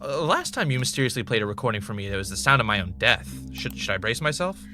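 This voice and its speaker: A man's voice: mid-range voice, very animated, fast talker, sharp tone, soft background music. A man playing an anxious, sarcastic investigator in an audio drama. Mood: wry, uneasy.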